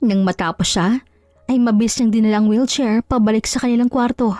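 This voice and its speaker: A woman storyteller reads a scripted drama. Her voice is on the high side. It is recorded close and dry, with music behind her. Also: fairly quick, mellow, no echo.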